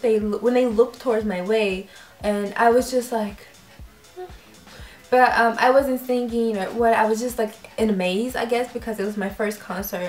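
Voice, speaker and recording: A young woman vlogger telling a story casually, acting out her own reactions with lively quoted voices. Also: on the high side. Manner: animated, acts out quoted reactions. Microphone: camera mic. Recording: roomy echo.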